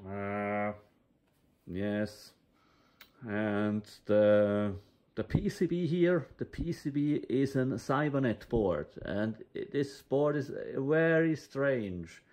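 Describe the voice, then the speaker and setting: A man giving an informal hands-on demonstration of radio equipment, in halting, fragmented phrases. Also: mid-range voice, a little mellow. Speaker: presenter of a radio equipment channel. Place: indoors at a table. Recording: noisy, with hiss and radio static.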